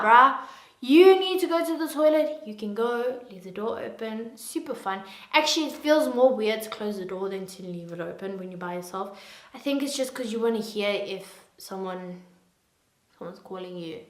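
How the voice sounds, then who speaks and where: A woman in her twenties chatting casually to camera as a vlogger, self-mocking and animated, a native English speaker. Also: fairly high pitch, reverberant. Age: 20s.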